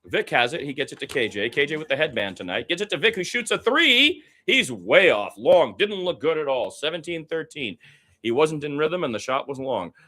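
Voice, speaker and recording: A man giving live radio-style basketball play-by-play, excitable in fast action and conversational between plays. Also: on the high side.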